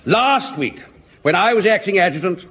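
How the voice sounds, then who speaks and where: A brash, bragging captain who talks brusquely and boasts of past campaigns. His voice sits high and swoops up and down all the time. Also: animated, normal speed, a bit bright, breathy edge, exaggerated voice. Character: brash, boastful male captain, a veteran of an earlier war. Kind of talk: 1970s radio sitcom acting.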